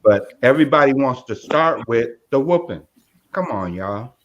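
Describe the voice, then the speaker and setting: A man's voice: mid-range voice, lively intonation, moderate pace, muffled recording, slight background noise. A man in casual chat, speaking in a preachy and emphatic way.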